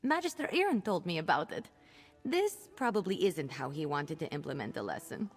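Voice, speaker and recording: Playful young mage, very animated. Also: female voice, low voice, moderate pace, slightly rough, exaggerated voice. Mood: explaining, eager. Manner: playful, expressive, chatty. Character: cheeky, impulsive young female mage apprentice companion. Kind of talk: video game character dialogue.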